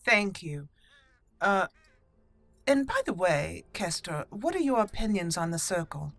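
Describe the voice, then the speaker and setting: A woman's voice: on the low side, very animated, unhurried, a bit bright, dry recording. A woman hosting a video game roleplay let's play, talking in a conversational, explanatory way. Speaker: gaming channel host. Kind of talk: roleplay let's play commentary, reading character dialogue in between.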